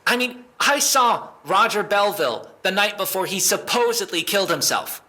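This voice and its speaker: A man's voice: sits high, expressive, normal speed, sharp tone, exaggerated voice. A man playing a desperate, defensive young man under questioning in an audio drama, stammering and exasperated as he speaks. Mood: shaken, insistent.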